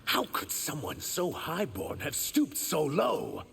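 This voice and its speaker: An actor playing an earnest, wounded young reptilian shapeshifter warrior in a video game, speaking with guarded defiance. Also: male voice, on the high side, huge pitch swings, slow pace, creaky, a bit bright, a little hiss or hum, full character voice. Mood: earnest, reproachful. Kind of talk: video game fight dialogue.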